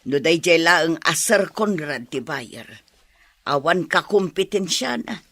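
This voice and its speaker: A woman's voice: low-pitched, expressive, takes it slowly, a bit bright, no room sound, exaggerated voice. A woman with an Ilocano accent playing an aggrieved villager in a radio drama. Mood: indignant. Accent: Filipino, Ilocano. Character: aggrieved adult villager haggling over produce prices.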